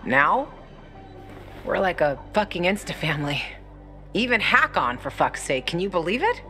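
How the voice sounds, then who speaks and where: A young woman fighter in a game scene. Her voice sits fairly low, and she talks frankly with a wry, guarded edge over music. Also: very animated, normal speed, pushed and bright. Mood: relieved, wry. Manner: candid, wry, swearing. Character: tough, candid young woman fighter, guarded but healing. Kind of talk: video game cutscene acting. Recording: music behind the voice.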